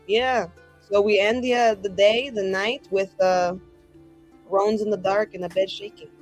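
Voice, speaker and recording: A woman's voice: medium pitch, steady tone, measured pace, faint music behind. A woman player casually improvising narration of her character's actions in a tabletop game.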